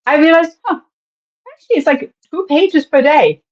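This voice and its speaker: A woman from Germany with a German accent, speaking as an animated podcast guest who laughs often and lets her pitch swing widely. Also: moderate pace, lo-fi sound. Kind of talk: casual interview talk.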